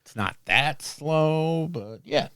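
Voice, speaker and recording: A man co-hosting a podcast, chatting casually about his army list, self-deprecating and quick to laugh at himself. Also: fairly high pitch, animated, dry recording, studio quality. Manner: self-deprecating, bursts into loud laughs, hesitant with points. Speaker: podcast co-host. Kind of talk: casual chat about tabletop wargaming.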